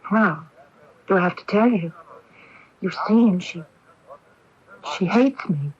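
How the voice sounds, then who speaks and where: An actress playing an anxious, frightened woman with a split personality in a radio mystery drama, halting and confiding. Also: mid-range voice, natural voice. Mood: fearful, confiding. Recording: muffled old AM radio broadcast.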